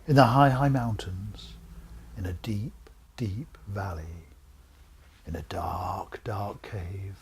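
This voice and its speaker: A man reading a children's storybook aloud in a theatrical, suspenseful way, with a husky voice that drops into breathy whispers. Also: lowish voice, slow pace, slight background noise. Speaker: author reading own book.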